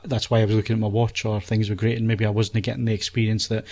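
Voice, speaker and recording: A man's voice: on the low side, level tone, fast talker, a little mellow, slight background noise. A man reviewing films in a casual podcast chat, thinking aloud in a hesitant way.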